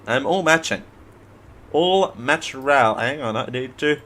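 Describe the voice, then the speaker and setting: Male, hoarse, solo live-show host, rambling, self-mocking, mid-pitched. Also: animated, a little slow, sharp tone. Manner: rambling, self-mocking, stumbles over names. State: hoarse after flu. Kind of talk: casual solo live show, reading news. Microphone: headphones at a desk mic.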